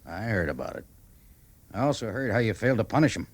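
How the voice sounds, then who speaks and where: An actor playing a wry, authoritative cavalry major in a classic radio drama, speaking in a measured way. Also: male voice, on the low side, sing-song. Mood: stern, dry. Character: wry, weary male cavalry major, commanding officer. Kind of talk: classic old-time radio drama acting.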